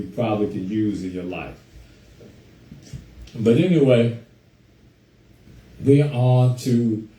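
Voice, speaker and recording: A man hosting a casual talk show on a group call, hoarse from a cold, chatting easily and greeting listeners by name. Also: fairly low pitch, dark tone, echoey room, far from the mic, rough recording. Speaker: host.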